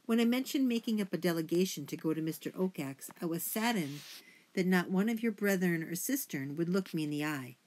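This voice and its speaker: A woman's voice: fairly low pitch, lively intonation, fairly quick, a little mellow, low-quality recording. A woman voicing a pompous, indignant father mouse in a storybook, speaking in a stern, formal manner. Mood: grandly pompous.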